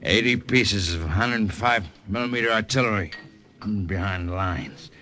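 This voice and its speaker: A man's voice: lowish voice, a little slow, pushed and bright, soft background music. A man playing an exhausted, mortally wounded lieutenant in a radio drama, weak and slurred with labored delivery. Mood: weak, urgent. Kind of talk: old-time radio drama acting.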